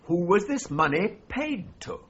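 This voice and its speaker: An actor playing a testy, defensive solicitor in a 1980s radio drama, with a gravelly, guarded voice. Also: male voice, sits high, big pitch swings, a little slow, a bit bright, full character voice. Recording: slightly dull 1980s radio recording.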